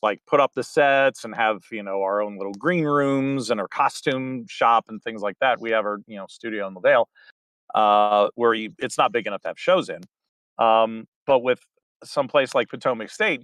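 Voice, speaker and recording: A man's voice: mid-range voice, a bit bright, no echo. A man in his forties, a theater director, answering interview questions in a chatty, rambling way with many fillers.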